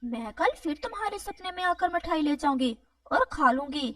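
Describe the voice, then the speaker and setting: Woman voicing a high-pitched sly cartoon crow. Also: animated, moderate pace, no room sound, studio quality, recorded from a distance. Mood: wheedling. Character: sly lying male crow, a greedy trickster. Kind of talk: animated children's moral story dialogue.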